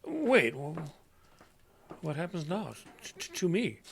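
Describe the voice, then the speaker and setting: A man's voice: fairly high pitch, huge pitch swings, takes it slowly, vocal fry, background noise, full character voice. An actor playing a confused, reluctant elderly man with failing memory in a horror audio drama, stammering and repeating himself. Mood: worried, halting. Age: older.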